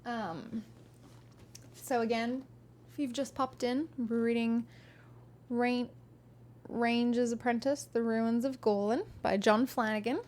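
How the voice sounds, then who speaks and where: A woman reads a fantasy novel aloud on a live stream, then chats loosely with her viewers. Her voice sits fairly high, and her talk is friendly and rambling, full of ums and restarts. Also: steady tone, takes it slowly, long pauses, a little mellow, slight background noise. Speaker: streamer reading a book aloud. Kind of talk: live-streamed audiobook reading and chat.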